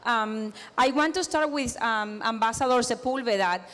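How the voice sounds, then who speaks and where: A woman's voice: on the high side, normal speed, a bit bright, in a large hall. Cuban-accented woman moderating a panel. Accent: Cuban Spanish. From Cuba. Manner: hesitant, many fillers.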